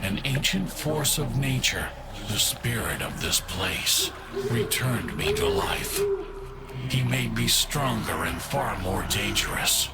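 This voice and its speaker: A man's voice: medium pitch, huge pitch swings, slow, creaky, heavy background noise, exaggerated voice. An actor playing the grave, vengeful ghost of a murdered scientist, telling his story solemnly in a mystery game. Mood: haunted, vengeful. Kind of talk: dramatic scripted monologue in a mystery game. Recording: loud music under the voice. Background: loud music.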